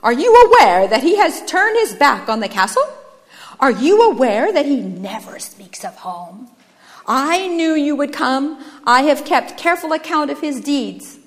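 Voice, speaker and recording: A woman voicing a fault-finding, self-righteous younger brother, loud, smug and accusatory. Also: a little high, big pitch swings, moderate pace, hardly any pauses, in a large hall. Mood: accusing, gloating.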